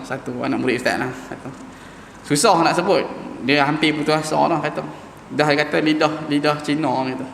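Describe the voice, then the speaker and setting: A man leads a Quran recitation lesson, with a slightly high, gravelly voice. He talks informally and stretches out Arabic sounds slowly when he demonstrates them. His voice rings through a large mosque hall with a long, echoing reverb and a faint hum behind it. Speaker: Quran teacher.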